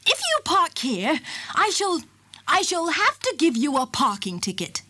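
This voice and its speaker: Woman voicing a fussy traffic warden, high and shrill, exclaiming and scolding. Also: big pitch swings, normal speed. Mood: stern.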